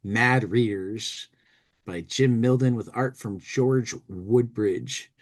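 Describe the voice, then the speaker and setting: A man's voice: mid-range voice, slow. A man hosting a casual talk show, reading a comic magazine aloud expressively and commenting with loose asides. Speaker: podcast host.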